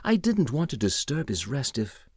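A man's voice: mid-range voice, sing-song, measured pace, a little mellow, dry recording, voice pushed up. A man voicing a sheepish, deferential butler with a hesitant, apologetic manner in an audiobook. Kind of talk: audiobook character voice.

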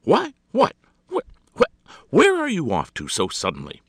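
A man's voice: on the high side, huge pitch swings, unhurried, vocal fry, fairly bright tone, husky, no echo, studio quality, pitched above the performer's own voice, full character voice. A man voicing an imperious, exasperated society hostess in a storybook, theatrical, impatient and gushing. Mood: indignant, alarmed.

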